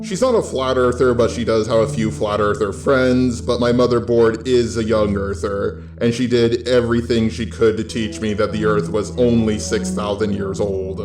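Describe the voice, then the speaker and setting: A man's voice: fairly low pitch, fairly even intonation, moderate pace, few pauses. An American man from California delivering a scripted opinion monologue in a blunt, sweary, sardonic way as a YouTube host. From California, United States. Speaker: YouTube host with a robot avatar. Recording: roomy echo, faint music bed.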